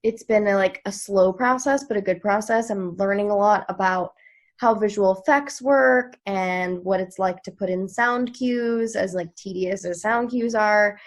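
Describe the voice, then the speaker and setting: A woman, an actress, chatting casually and brightly about her work on a remote video call. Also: mid-range voice, unhurried. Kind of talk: casual chat.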